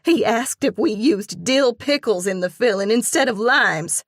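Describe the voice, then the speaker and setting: Woman reading a high, outraged gossipy friend. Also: animated, dry recording, studio quality. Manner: rushed, indignant, high-pitched.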